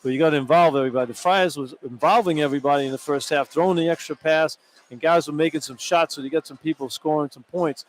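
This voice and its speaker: Higher-pitched man analysing basketball, conversational. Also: quick pace, rough recording. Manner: conversational, explanatory. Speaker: radio colour analyst. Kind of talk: live basketball commentary. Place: courtside in a large indoor arena. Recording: live arena broadcast with background noise.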